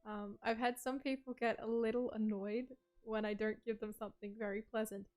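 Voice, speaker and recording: A woman's voice: a little high, flat delivery, moderate pace, a little mellow. A woman chatting casually on a livestream, rambling with lots of "like" and "um" and laughing often as she reads out viewer messages.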